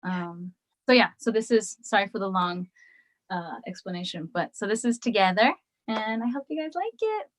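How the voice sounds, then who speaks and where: Soft-spoken woman, halting, full of ums. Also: mid-range voice, lively intonation. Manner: soft-spoken and hesitant, with many fillers and restarts. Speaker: singer and podcast guest. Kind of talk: casual video-call conversation. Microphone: video call.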